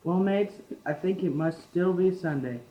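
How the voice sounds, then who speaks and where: A man's voice: sits high, normal speed, slight background noise, natural voice. A young man in an amateur radio play acting a stubborn, plain-spoken, crafty working man. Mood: matter-of-fact. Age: teenager. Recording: muffled, echoey classroom-made amateur recording.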